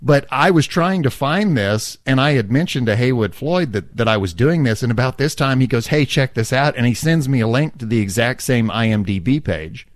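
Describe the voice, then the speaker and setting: Guy cohosting a podcast, rambly chatty storytelling, mid pitch, dry close mic. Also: expressive, normal speed, few pauses, dry recording. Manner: rambling, with many restarts and chuckles. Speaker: podcast co-host. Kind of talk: casual chat.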